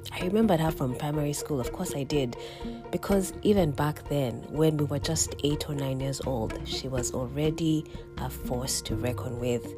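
A Ugandan woman hosting a personal storytelling podcast, slightly croaky from a cold, reflective and quick to chuckle. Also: a bass voice. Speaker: podcast host.

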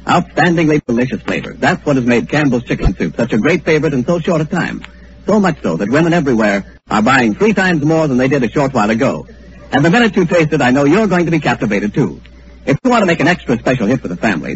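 Animated high-pitched American radio announcer. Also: male voice. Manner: polished, emphatic salesmanship. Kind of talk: 1930s radio advertising pitch. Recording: muffled old radio broadcast.

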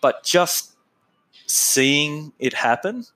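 A man's voice: a little high, expressive, drawn-out words, pushed and bright. A man with an Australian accent chats loosely as an interview guest. His delivery wanders and is full of fillers, broken up by easy laughter. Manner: laid-back, rambling, laughs often, lots of fillers. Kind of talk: casual interview chat.

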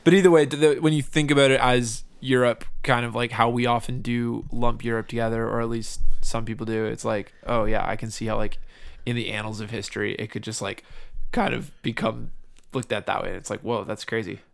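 A man's voice: mid-range voice, little pitch movement, fairly quick, gravelly, clear, resonant tone. A man chatting casually, colloquial and reflective as he responds to others. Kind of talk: casual chat.

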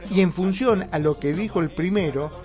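Argentinian guy hosting radio, voice kinda high, explains stuff while hunting for words, faint music. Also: normal speed, darkish tone. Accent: Argentine Rioplatense. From Mar del Plata, Argentina. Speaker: radio show co-host. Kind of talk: casual radio chat.

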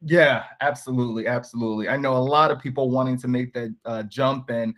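A man hosting an interview podcast, upbeat and affirming, quick to praise his guest. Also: medium pitch, steady tone, a bit bright. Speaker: podcast host. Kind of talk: casual interview chat.

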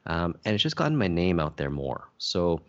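Super deep voiced guy chatting loosely, lots of ums, kinda dull audio. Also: big pitch swings, normal speed, a bit bright. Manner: chatty, many fillers and restarts. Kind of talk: casual conversation.

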